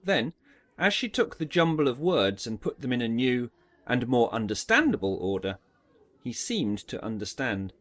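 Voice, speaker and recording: A man's voice: medium pitch, big pitch swings, moderate pace, a bit bright. A man narrating a dramatised audiobook story in a storytelling style.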